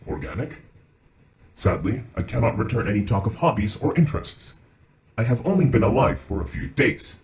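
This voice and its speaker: A man voicing a newly conscious artificial intelligence, naive, polite and blunt, with formal curious speech in an audio drama. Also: lowish voice. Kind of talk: audio roleplay monologue.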